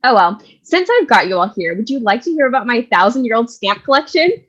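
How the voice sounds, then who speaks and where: A woman's voice: a little high, sing-song, fairly quick, a bit bright, slightly rough, lo-fi sound, exaggerated voice. A woman playing an eccentric, self-assured cleaning lady who tells tales theatrically and emphatically in a staged comedy reading. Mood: cheerfully oblivious. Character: chatty, opinionated cleaning lady Sister Lawrence, eccentric storyteller.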